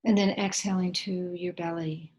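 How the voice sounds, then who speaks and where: Slightly low-voiced woman guiding meditation. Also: steady tone, muffled recording. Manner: slow, gentle, with long pauses. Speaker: meditation guide. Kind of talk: guided meditation, soothing instruction.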